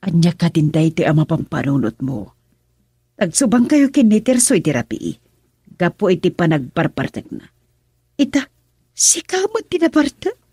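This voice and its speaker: A woman with an Ilocano accent playing a worried middle-aged mother of grown children in a radio drama, with a husky voice. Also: lowish voice, huge pitch swings, moderate pace, dark tone, no room sound, studio quality, exaggerated voice. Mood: concerned, scolding.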